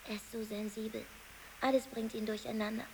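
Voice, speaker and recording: Actress as a higher-voiced tense woman in reverb. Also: flat delivery, fairly quick, a little mellow, close to an everyday voice. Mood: confiding. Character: tense, devoted young woman in a troubled romance. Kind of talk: naturalistic radio drama dialogue. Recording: reverberant hall, clear hiss.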